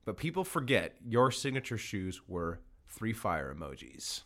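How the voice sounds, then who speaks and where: A man's voice: fairly low pitch, sing-song, unhurried, fairly bright tone. Casual man bantering as podcast host.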